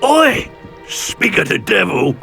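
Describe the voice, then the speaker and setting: A menacing crook's lackey in a video game. The voice is creaky and rough, jumping widely in pitch, with coarse, mocking delivery. Music plays faintly behind. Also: male voice, very animated, slow, sharp tone, exaggerated voice. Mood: menacing, taunting. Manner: coarse, taunting, ungrammatical. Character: menacing thuggish henchman of a crime boss. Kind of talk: video game villain acting.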